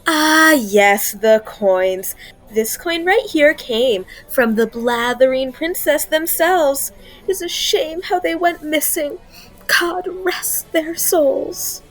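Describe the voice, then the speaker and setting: Mysterious female curio seller, played by a woman: high, slow, courteous and knowing, audio drama. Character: mysterious, courteous woman stallholder showing off rare treasures. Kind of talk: fan audio drama acting. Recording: music underneath.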